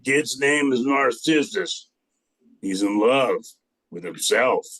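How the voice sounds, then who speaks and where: A man's voice: mid-range voice, expressive, slow pace, fairly bright tone. A man playing an exasperated, philandering husband god in an amateur radio comedy. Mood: dismissive.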